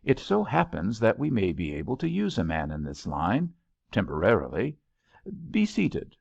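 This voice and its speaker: A man's voice: fairly low pitch, huge pitch swings, moderate pace, creaky, breathy edge, dry recording, exaggerated voice. A man voicing a cynical, weary junior partner at an architecture firm in a storybook, cool, calculating and grudging, on a slightly dull recording. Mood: grudging interest.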